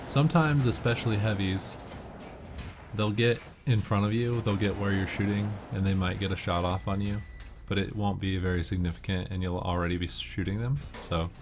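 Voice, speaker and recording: A man doing casual video game walkthrough commentary, explaining tips in a measured way. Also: deep voice, steady tone, noisy background. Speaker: gamer giving walkthrough commentary.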